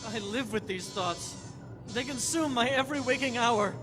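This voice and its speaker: An actor playing a nightmare-plagued, high-strung writer in a live radio play, fretful and florid in his speech. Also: moderate pace, music in the background. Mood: haunted.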